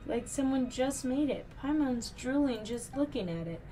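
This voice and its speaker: A woman's voice: high-pitched, animated, normal speed, warm tone, pitched above the performer's own voice, low-quality recording. A woman voicing a small, chirpy, food-loving fairy companion from a video game, eager in manner. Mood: eager, tempted. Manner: speaks of herself in third person, eager.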